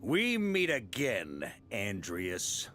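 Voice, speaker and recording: A man's voice: lowish voice, huge pitch swings, drawn-out words, a bit bright, slight background noise, heavily acted. A man voicing a confident, breezy knight grandmaster in a video game, theatrical and self-assured. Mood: dramatic.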